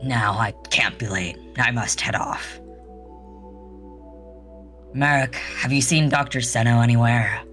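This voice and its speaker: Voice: mid-range voice, slow pace, long pauses, fairly bright tone, soft background music. A person voicing a polite, erudite scientist with a courteous, formal manner in a sci-fi visual novel. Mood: brisk, curious.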